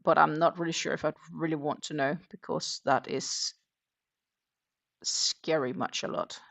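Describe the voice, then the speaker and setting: A woman's voice: low voice, steady tone, a little slow, creaky, fairly bright tone. Woman chatting while crocheting. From Denmark. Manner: chatty, thinking aloud, reads viewer comments, counts stitches under her breath. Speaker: crochet livestream host. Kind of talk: casual chat while crocheting.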